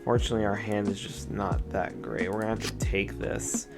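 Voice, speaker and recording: A young man with a slightly raspy voice, chatting casually through a card game deck test and explaining his plays. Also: fairly low pitch, fairly even intonation, fairly quick. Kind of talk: casual gameplay commentary. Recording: music playing underneath.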